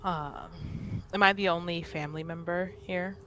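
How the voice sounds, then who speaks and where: Unhurried woman over faint background noise. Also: lowish voice, steady tone, pushed and bright. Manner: hesitant, thinking aloud. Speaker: tabletop roleplaying player. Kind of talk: casual improvised roleplay chat.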